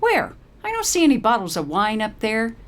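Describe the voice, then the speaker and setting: A woman voicing a crotchety, sharp-tongued old woman from a retirement home story, brash, demanding and wisecracking. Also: a little high, huge pitch swings, normal speed, pitched above the performer's own voice. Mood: impatient, barking.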